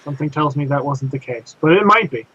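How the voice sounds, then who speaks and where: A man's voice: on the high side, expressive, fast talker, pushed and bright, slightly rough, muffled recording. A man co-hosting a podcast, laid-back, mostly giving short agreeing replies. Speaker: podcast co-host. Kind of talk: casual chat, brief reactions.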